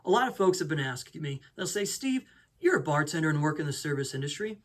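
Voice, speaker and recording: A man's voice: on the high side, sing-song, fast. A man hosting a talk show, interviewing casually and presenting to his viewers.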